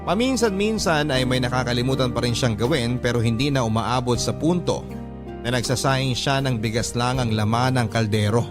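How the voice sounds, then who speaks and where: A man's voice: medium pitch, moderate pace. A man narrating a personal letter in a dramatic radio style, earnest and direct. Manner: earnest, addressing the host. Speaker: letter reader. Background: background music.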